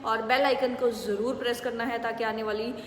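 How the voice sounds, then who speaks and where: A woman with an Indian accent teaching English phrases, saying the model answers slowly and clearly. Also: fairly high pitch, little pitch movement, a little hiss or hum. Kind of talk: spoken English lesson in Hindi. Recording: echoey room with long reverb.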